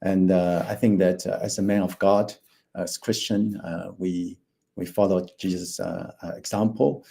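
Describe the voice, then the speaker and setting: Hesitant man in his fifties, deep and mellow. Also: flat delivery, unhurried, creaky. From Taiwan. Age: 50s. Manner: hesitant, many fillers and restarts. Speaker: financial planner presenting. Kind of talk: online presentation.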